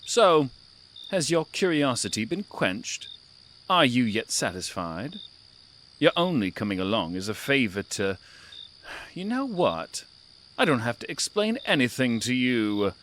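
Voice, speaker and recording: A man playing a haughty, menacing mystical storyteller cat in an audio drama, with a theatrical, drawn-out delivery. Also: medium pitch, sing-song, fairly bright tone, slight background noise. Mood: haughty disdain. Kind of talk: audio drama host narration.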